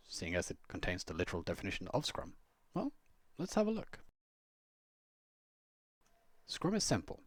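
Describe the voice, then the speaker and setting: A man with a husky voice reads a scripted instructional piece in a measured, explanatory way, with conversational asides. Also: lowish voice, no room sound, clean studio sound. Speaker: blogger and Scrum trainer reading own blog post.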